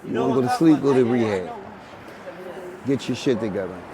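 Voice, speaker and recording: A man's voice: mid-range voice, a little fast, crowd noise. Philly man on a crowded street. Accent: Philadelphia. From Philadelphia, USA. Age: 40s. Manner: street slang, repeats phrases, restarts often. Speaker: interviewee. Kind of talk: street interview, casual. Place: outdoors on a busy city street. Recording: outdoor street recording with passersby.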